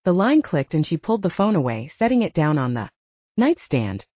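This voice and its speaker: A woman's voice: sits low, very animated, moderate pace, a little mellow, no echo, lo-fi sound. A woman narrating an audiobook novel in a scripted storytelling style.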